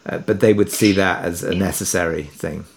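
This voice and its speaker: A man with a slightly low voice answers as an interview guest. He talks reflectively and conversationally, feeling his way through each thought with some hesitation. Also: normal speed, vocal fry, slight background noise. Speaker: interview guest, audio drama producer.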